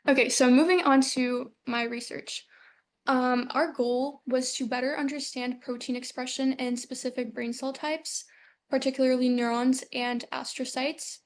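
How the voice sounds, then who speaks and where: A woman's voice: high voice, fairly even intonation, normal speed. A young woman from Ukraine giving a student research presentation over a video call, earnest and full of um fillers. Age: young adult.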